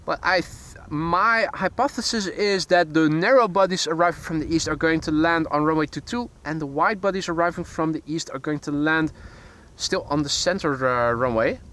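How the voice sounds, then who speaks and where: A man's voice: a little high, moderate pace, pushed and bright. Dutch-accented man giving live airport commentary. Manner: chatty, self-correcting, dry jokes. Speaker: plane spotting livestream host. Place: outdoors beside a field near a runway. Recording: faint background noise outdoors. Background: outdoor ambience, vehicles.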